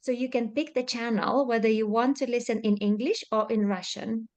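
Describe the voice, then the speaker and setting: A woman with a slightly high voice runs a webinar. She speaks in a brisk, practical way over a video call. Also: steady tone, darkish tone. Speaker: webinar moderator. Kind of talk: moderating a webinar.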